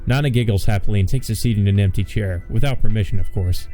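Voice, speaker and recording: A man with a low, dark voice narrates a visual novel for a let's play. He mixes straight reading with blunt, sardonic asides, over faint music. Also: fast. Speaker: let's play host and reader.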